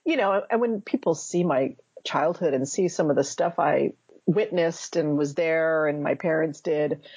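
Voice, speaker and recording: A woman's voice: sits low, unhurried, a little mellow, husky, no echo, highs cut off. A woman in her fifties, a memoir author answering interview questions thoughtfully, searching for words and restarting often. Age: 50s.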